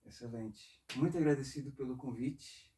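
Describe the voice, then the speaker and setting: Mellow-voiced man in his forties, halting. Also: medium pitch, recorded from a distance, slight background noise. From northeastern Brazil. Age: 40s. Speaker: interview guest, saxophonist and composer. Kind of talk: casual reflective interview talk. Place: music studio.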